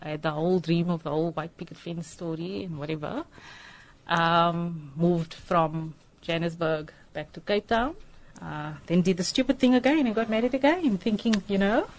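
A woman's voice: lowish voice, normal speed, low-quality recording. A woman in her forties from South Africa, a radio guest telling her life story candidly with nervous fillers. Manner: candid, nervous, self-deprecating, many fillers. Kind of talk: personal story in a radio interview. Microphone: studio microphone.

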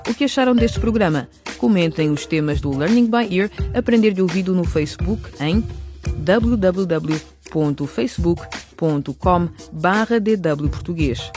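A woman's voice: lowish voice, expressive, normal speed, darkish tone. A woman announcer reading scripted narration for a radio series. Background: music.

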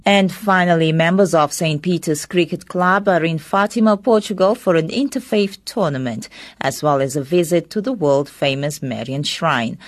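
Low-voiced radio host. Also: female voice, moderate pace. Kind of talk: scripted news reading. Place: radio studio.

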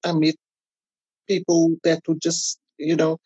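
A South African woman chatting casually as an interview guest, telling personal stories in an animated way. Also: deep voice, unhurried, slightly rough, dry recording, highs cut off. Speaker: podcast guest.

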